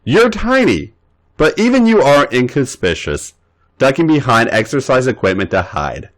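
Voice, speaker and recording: A man voicing a cocky, teasing male wrestler in a story reading, raising his pitch a little. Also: big pitch swings, moderate pace, close to an everyday voice. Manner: playful, teasing.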